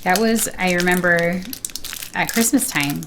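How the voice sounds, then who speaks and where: A woman's voice: fairly low pitch, a little slow, background noise. A woman chatting casually as a guest on a game stream. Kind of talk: casual chat.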